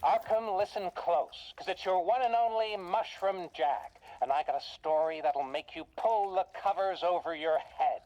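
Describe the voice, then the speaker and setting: A woman playing a folksy, eerie radio host who narrates macabre horror tales with theatrical pauses in a video game. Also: fairly low pitch, warm tone, lo-fi sound, natural voice. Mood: eerie, dramatic.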